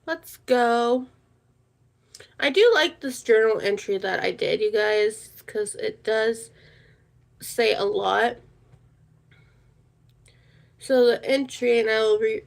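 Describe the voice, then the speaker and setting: High-voiced woman livestreamer with creaky fry. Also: takes it slowly, long pauses. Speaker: livestream host. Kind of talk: casual livestream chat.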